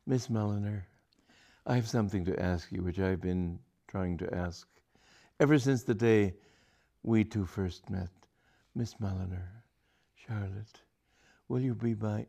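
A man voicing a flustered young gentleman suitor who stammers and breaks into high, breathless outbursts. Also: measured pace, gravelly, pauses often. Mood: earnest.

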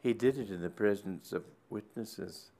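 A man playing a shy young male curate who speaks quietly and hesitantly. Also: on the low side, moderate pace, lightly acted. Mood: confiding.

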